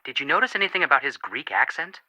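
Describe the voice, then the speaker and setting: An actor voicing an excitable, flippant young male amateur detective in a video game, joking in an exaggerated, mock-dramatic way. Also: a little high, fast, no room sound, full character voice. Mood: curious. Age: young adult.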